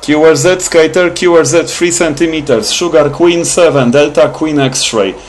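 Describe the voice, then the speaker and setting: A man's voice: on the high side, normal speed. A man working as an amateur radio operator, making clipped, repetitive contact calls with phonetic call signs. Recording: noisy room with radio static.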